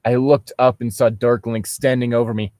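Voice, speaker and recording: Man, medium pitch, sardonic, casual commentary, story reading, no room echo. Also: flat delivery, normal speed, warm tone, clean studio sound. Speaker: co-host.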